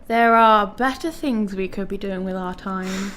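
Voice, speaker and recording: A woman's voice: medium pitch, measured pace, noisy background, close to an everyday voice. An actress playing a quirky young woman, affectionate and evasive, in a naturalistic radio drama. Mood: playful, evasive. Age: young adult.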